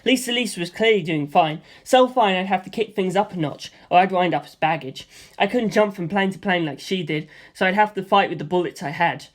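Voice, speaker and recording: A man's voice: high-pitched, brisk. A man narrating an action story. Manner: lively, with spoken gunfire sound effects. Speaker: audiobook reader. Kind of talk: first-person story narration.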